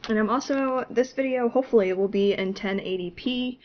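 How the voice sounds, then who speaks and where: A woman's voice: a little high, brisk, muffled recording, slight background noise. A woman with a cold and a congested voice, chatting casually and laughing at herself while she plays. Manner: chatty, laughing, self-deprecating. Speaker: gaming YouTuber. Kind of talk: casual gameplay commentary. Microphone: desktop mic, no webcam.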